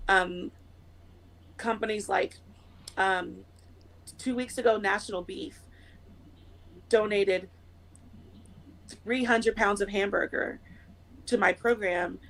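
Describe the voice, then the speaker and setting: Sex: woman. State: slightly hoarse from a cold. Role: interview guest on a video call. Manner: nervous, rapid, rambling, full of ums. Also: mid-range voice, fairly even intonation, a bit bright. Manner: nervous, quick, rambling with many fillers. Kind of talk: conversational interview.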